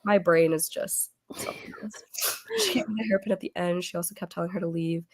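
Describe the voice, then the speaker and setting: A woman hosting a casual book club chat over a video call, losing her voice as she gets over an illness, chatty and quick to laugh. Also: fairly low pitch, fairly even intonation. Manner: chatty, laughs often, trails off.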